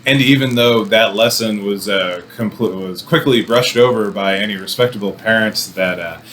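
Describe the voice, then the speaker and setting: A man giving a casual, rambling movie review with wry, animated asides. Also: on the low side, normal speed, slight background noise. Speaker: film commentator.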